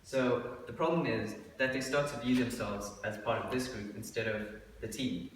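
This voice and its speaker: A man's voice: mid-range voice, steady tone, normal speed, far from the mic, slight background noise. Young man presenting in an echoey room. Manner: hesitant, with many fillers and restarts. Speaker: student presenter. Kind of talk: class presentation. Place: dim lecture room beside a projector. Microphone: far room mic.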